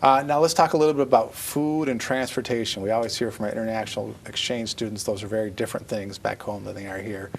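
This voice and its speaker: A man's voice: on the low side, steady tone, slight background noise. A man hosting a school district TV program, interviewing students in a studio. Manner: brisk, conversational. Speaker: TV host.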